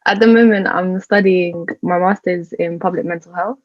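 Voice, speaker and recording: A woman's voice: fairly low pitch, fairly even intonation, moderate pace, no echo, lo-fi sound. A young woman with a British accent chatting casually as a podcast guest on a video call. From born in Venezuela, in the UK from age three. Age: young adult. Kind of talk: casual introduction and discussion. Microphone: remote video call.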